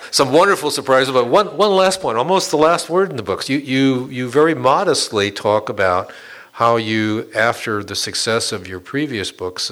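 A man's voice: mid-range voice, fairly bright tone. A man moderating a book talk, asking the author questions and opening the floor to the audience, with hesitant phrasing.

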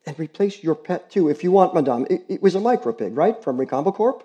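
A man's voice: fairly high pitch, big pitch swings, a little fast, dark tone, highs cut off, pitched above the performer's own voice. A man voicing a precocious, earnest teenage girl who pleads eagerly. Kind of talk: audiobook character reading.

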